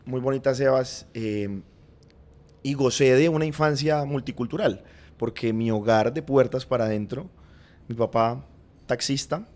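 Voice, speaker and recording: A man with a Colombian accent, a podcast guest, reflectively recounting his family's past in an earnest, unhurried way. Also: medium pitch, a little mellow. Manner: earnest, reflective, frequent "digamos" and "pues" fillers. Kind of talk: personal storytelling in conversation.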